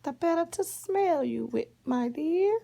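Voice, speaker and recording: Give the storybook big bad wolf in granny disguise, as a woman plays him. The voice is pitched well up into a syrupy old-lady coo. It coaxes slyly, drawing out its endearments, with creaky edges. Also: animated, mellow, full character voice. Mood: sweetly coaxing. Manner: coaxing, drawn-out endearments.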